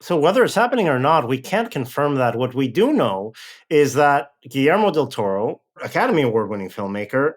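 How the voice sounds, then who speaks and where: A man from Mexico hosting a podcast, brisk and upbeat as he moves between chat and an interview. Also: mid-range voice, sing-song. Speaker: podcast host.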